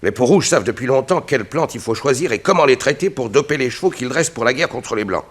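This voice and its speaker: Crooked scientist, 1960s radio drama: low, very animated, articulate, self-possessed, self-serving. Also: male voice. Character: shrewd, self-serving male scientist who secretly makes horse dope.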